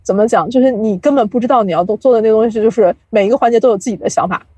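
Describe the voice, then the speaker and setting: Female podcast guest, slightly high voice in a dry recording, talking casually and thoughtfully through explanations. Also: rapid-fire, warm tone, husky, no echo. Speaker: podcast guest, audio drama producer. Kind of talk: casual conversational interview.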